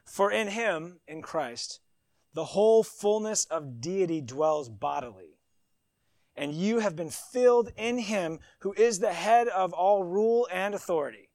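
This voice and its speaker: A man's voice: high-pitched, no room sound. A man preaching a sermon, reading scripture with emphasis and breaking into conversational asides. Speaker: pastor.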